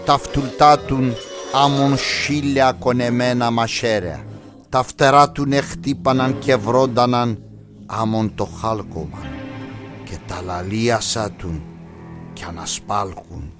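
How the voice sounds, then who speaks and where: A man with a Pontic Greek accent narrating an animated myth in a scripted storybook style. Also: mid-range voice. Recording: music under the voice. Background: background music.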